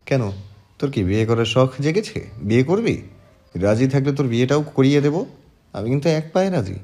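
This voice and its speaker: A man's voice: mid-range voice, very animated, brisk, a little mellow, faint music behind. A man playing a stern, teasing young romantic hero in an audio drama, with a commanding manner.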